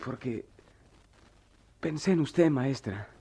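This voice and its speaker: An actor playing a troubled, proud, remorseful working-class former pupil in a radio soap, with a Mexican accent and halting, colloquial speech. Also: male voice, medium pitch, lively intonation, creaky, mellow, husky, exaggerated voice. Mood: confessional, subdued. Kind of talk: melodramatic radio soap acting.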